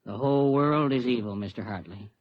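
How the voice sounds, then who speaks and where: An actor playing a pious, hesitant and apologetic neighbor, a religious fanatic, in a 1950s radio drama. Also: male voice, mid-range voice, a little fast, no room sound, lightly acted. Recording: dull, dry vintage radio recording.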